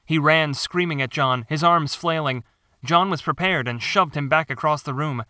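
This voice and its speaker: A man reading his own story as scripted dramatic narration, measured and theatrical. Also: a little high, bright, forceful tone, dry recording. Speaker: author narrating own audiobook.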